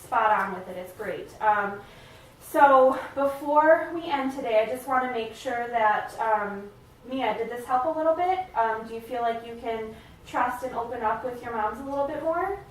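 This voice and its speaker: A woman's voice: fairly high pitch, moderate pace, close to an everyday voice. A woman playing an encouraging, careful family therapist in a role-played session, speaking hesitantly and affirmingly. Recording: very echoey room, distant mic, faint noise.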